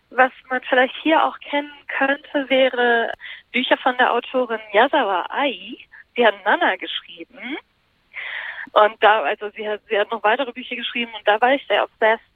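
A woman who grew up in Japan and moved to Germany as a child, answering interview questions thoughtfully with many fillers. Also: on the high side. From Japan, moved to Germany at seven. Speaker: interview guest, columnist. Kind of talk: casual interview over the phone. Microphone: phone. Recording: dry tinny phone line.

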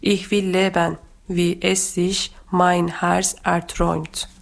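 A woman from Turkey with a Turkish accent, a teacher speaking careful, halting non-native German as she talks and reads poetry. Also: fairly low pitch, little pitch movement, gravelly. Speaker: guest teacher reading poetry. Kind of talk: personal talk and poetry reading.

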